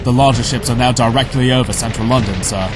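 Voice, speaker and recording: A man playing a formal government military aide, giving crisp official reports in an audio drama. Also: mid-range voice, quick pace, noisy background, natural voice. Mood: brisk, official.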